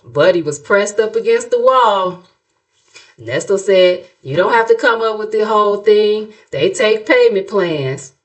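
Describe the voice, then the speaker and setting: A woman's voice: medium pitch, animated, unhurried. A middle-aged American woman giving sassy, gossipy reaction commentary as a host, in a colloquial, playfully mocking, dramatic storytelling way. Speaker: YouTube commentary host, narrator.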